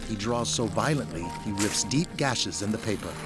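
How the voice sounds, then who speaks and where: Low, lively male drama narrator over music. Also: normal speed. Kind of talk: scripted dramatic narration of stage action.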